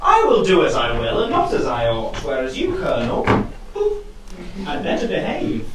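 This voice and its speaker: An actor playing a cocky, insolent rake, speaking with smug mock courtesy in a comic costume drama. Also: male voice, mid-range voice, huge pitch swings, normal speed. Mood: smug defiance. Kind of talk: comic costume-drama stage acting in rhyme. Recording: very echoey, distant, noisy stage recording.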